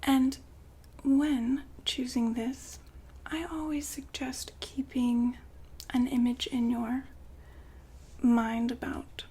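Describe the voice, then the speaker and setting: Casting: actress for a polite sci-fi shop consultant in ASMR roleplay, high female voice, whispered, slow and soothing. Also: steady tone, husky, heavily acted. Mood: calm, attentive. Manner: polite, unhurried, softly whispered customer-service talk. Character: courteous, soothing saleswoman at a futuristic fembot-building shop.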